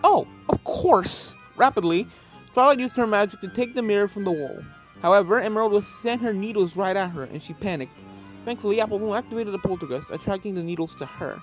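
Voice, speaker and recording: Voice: medium pitch, fast talker, low-quality recording. A narrator reads a scripted story aloud. Music plays behind the voice throughout the fan fiction reading.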